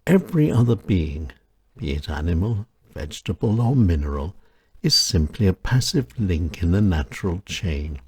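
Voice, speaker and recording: A man with a very low, husky voice reads his own reflective essay as the host of his show. His delivery is slow and solemn, with a lot of rise and fall in the melody, and the sound is close and dry. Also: big pitch swings, a little mellow, no echo. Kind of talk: scripted reflective narration.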